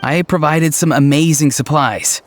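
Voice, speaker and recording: A male actor gives us a polite, mild young man from an animated game. He speaks sincerely and a bit stiffly in a higher-than-average male register, with faint music behind. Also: normal speed, lightly acted. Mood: earnest. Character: polite, earnest, mild-mannered young man, socially awkward. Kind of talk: animated video game voice acting.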